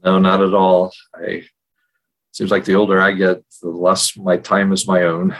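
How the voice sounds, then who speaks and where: A middle-aged or older man co-hosting a podcast, talking casually in a measured, reflective way. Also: sits low, completely flat delivery, low-quality recording. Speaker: podcast co-host. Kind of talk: casual conversational podcast talk, personal storytelling. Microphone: video call.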